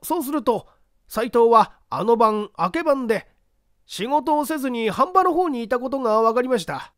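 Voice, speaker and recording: A man's voice: very high-pitched, expressive, normal speed, a bit bright, no room sound, studio quality, pitched above the performer's own voice. A man voicing a polite, earnest works foreman explaining himself under questioning in a mystery story. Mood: earnest, deferential. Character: deferential male works foreman answering an interrogation.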